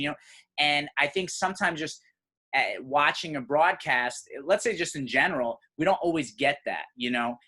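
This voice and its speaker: A man from Serbia hosting a podcast, talking in an animated, conversational way. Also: a little high. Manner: animated, conversational, says "you know" often. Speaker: podcast host.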